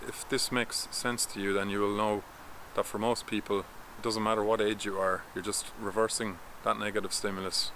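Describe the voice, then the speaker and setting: A man in his thirties talks to the camera in a garden and explains things in a steady, instructive way. His voice sits a little low but sounds bright and forward. Faint outdoor ambience and distant traffic come through in the background. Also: moderate pace, hardly any pauses. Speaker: vision coach. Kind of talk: talking-head explainer vlog.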